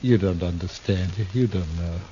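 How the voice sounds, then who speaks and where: An actor in a 1950s radio drama playing a tormented failed thespian on the run, speaking in florid, archaic, Shakespearean phrases. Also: male voice, sits low, moderate pace, mellow, lightly acted. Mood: desperate, pleading. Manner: theatrical, archaic florid speech, quoting Shakespeare. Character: desperate, tormented, ill-favoured failed actor turned armed fugitive. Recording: dull, noisy old radio recording.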